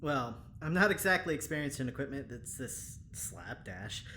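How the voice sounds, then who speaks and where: Audio drama role: informal science assistant, wry and tentative, mid-range voice, slight noise behind. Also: male voice, medium pitch, big pitch swings, moderate pace, pushed and bright. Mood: wry, unsure. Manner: hesitant, casual, wry.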